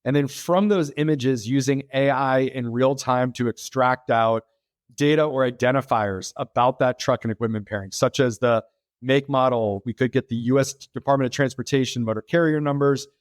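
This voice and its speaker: A middle-aged American man talking through his work as a podcast guest, mid-pitched and easygoing. He explains at length, circling back with fillers and restarts. The sound is close and dry, with little room. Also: moderate pace, dry recording. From United States. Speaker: podcast guest, freight tech founder. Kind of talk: casual interview talk.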